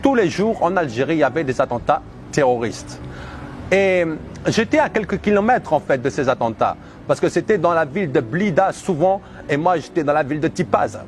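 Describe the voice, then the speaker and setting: Higher-pitched man testifying over background noise. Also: lively intonation, a little fast. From Algeria. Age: 30s. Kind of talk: personal religious testimony.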